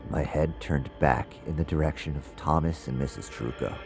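A man's voice: extremely low pitch, moderate pace, vocal fry, a little mellow. A man playing an uneasy narrator in an audio drama, recounting a haunting in a conversational first-person way. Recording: music bed under the voice.

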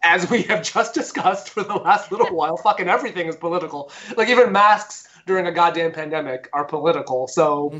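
Sarcastic comedian, dull lo-fi sound. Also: male voice, on the high side, brisk, hardly any pauses, a bit bright. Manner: sarcastic, profane, laughing. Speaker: podcast co-host, comedian. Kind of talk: casual chat.

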